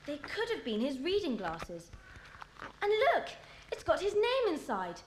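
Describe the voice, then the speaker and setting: On a roomy cassette dub with faint noise, a little sister in a children's audio play. The voice is very high and lively, alert and girlish. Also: measured pace, full character voice. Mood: eager. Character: young schoolgirl, alert, slightly timid little sister. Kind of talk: children's audio dramatisation acting.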